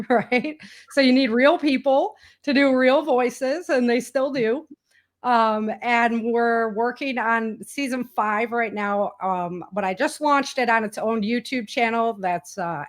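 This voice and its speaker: A woman speaking as an enthusiastic, chatty interview guest, in a casual conversational style. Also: on the high side. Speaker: interview guest, indie author and podcaster.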